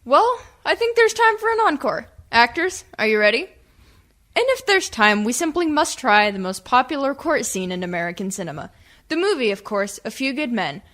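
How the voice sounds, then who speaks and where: A woman's voice: fairly high pitch, sing-song, moderate pace, a bit bright. A woman playing a theatrical announcer, presenting actors in a scripted radio comedy. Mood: brisk, showy.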